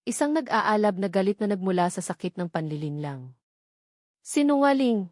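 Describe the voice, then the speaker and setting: A woman's voice: fairly low pitch, lively intonation, fairly quick, a little mellow, no room sound, studio quality. A woman reading a dramatic scripted story as an audiobook narrator, with an emotive storytelling delivery.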